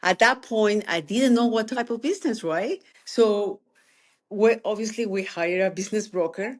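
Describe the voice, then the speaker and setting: Colombian-accented businesswoman, lively guest. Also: medium pitch, moderate pace. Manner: energetic, talks in bursts with non-native phrasing. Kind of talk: interview answers.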